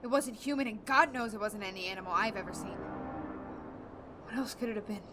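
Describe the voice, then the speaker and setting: An actress playing a blunt, hardened war-veteran space captain in a science-fiction audio drama. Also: female voice, medium pitch, a little fast, noisy background, close to an everyday voice. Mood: uneasy, insistent. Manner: blunt, hard-edged.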